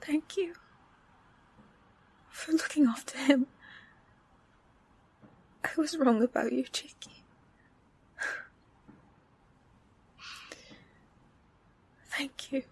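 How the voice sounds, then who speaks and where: A woman's voice: sits high, takes it slowly, pauses often, darkish tone. A woman playing an anxious, protective, devoted character in an audio roleplay, earnest and emotional. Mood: distraught.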